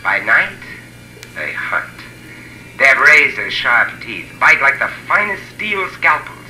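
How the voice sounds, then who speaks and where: A man acting as a haunted adventurer who narrates a deadly jungle ordeal in dramatic, deliberate 1950s radio drama style. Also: mid-range voice, very animated, sharp tone, a little hiss or hum, exaggerated voice. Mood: grim, brooding. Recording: old echoey radio recording with music.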